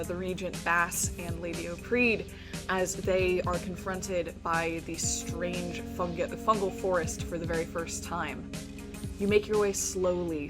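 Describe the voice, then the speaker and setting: A woman narrating and refereeing a tabletop fantasy game as game master, telling it with suspenseful pauses. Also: lowish voice, fairly bright tone.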